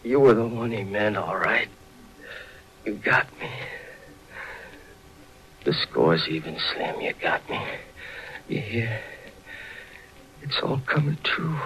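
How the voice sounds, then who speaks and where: A man's voice: medium pitch, expressive, takes it slowly, long pauses, fairly bright tone, dull audio. An American actor playing a desperate, paranoid small-time gangster in a 1940s radio drama, with slangy tough-guy talk. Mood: despairing. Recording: vintage 1940s radio broadcast recording.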